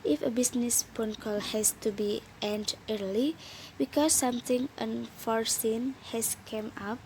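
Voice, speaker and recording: A young woman reads a scripted student presentation. Her voice sits a little high, and her delivery is careful and slightly halting. There is light noise on the recording. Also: steady tone, darkish tone, rough recording. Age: young adult. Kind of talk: scripted lesson presentation, read aloud.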